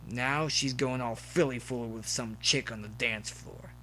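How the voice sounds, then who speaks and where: Drunk, hot-tempered young pony bully for an audio story, man performing, shouty and menacing, slightly noisy home recording. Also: medium pitch, a little slow. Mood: exasperated. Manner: shouting, threatening. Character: angry drunk male pony bully, belligerent college colt.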